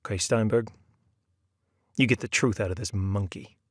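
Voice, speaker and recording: A man's voice: fairly low pitch, moderate pace, breathy edge, no echo, clean studio sound. A man voicing a weary male police detective who talks bluntly and sarcastically, in a story reading.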